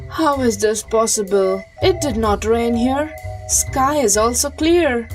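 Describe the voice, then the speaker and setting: A woman voicing a naive, questioning village wife in an animated storybook tale, earnest and emphatic. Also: on the high side, slow. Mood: puzzled. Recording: music clearly behind the voice.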